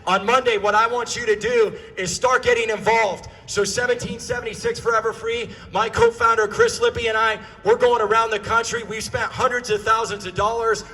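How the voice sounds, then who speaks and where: American male activist, high and forceful voice, impassioned rally oratory with emphatic, projected phrasing. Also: fairly quick, hardly any pauses, poor audio. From United States. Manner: emphatic, repeats key phrases for effect; projected, often shouting. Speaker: political activist addressing a rally.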